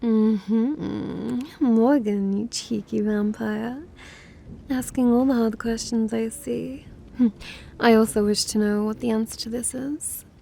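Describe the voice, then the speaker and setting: A woman's voice: a little high, fairly even intonation, takes it slowly, creaky, mellow, exaggerated voice. A woman playing a prickly, disdainful vampire who is secretly smitten with a human, huffy and theatrical in an ASMR roleplay. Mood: teasing.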